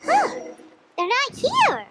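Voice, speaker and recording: A tiny fairy companion from a video game, extremely high and childlike. The delivery is slow and hugely animated, chatty and easily scandalized, over loud noise. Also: female voice, full character voice. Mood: curious. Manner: speaks of self in third person, exclaiming.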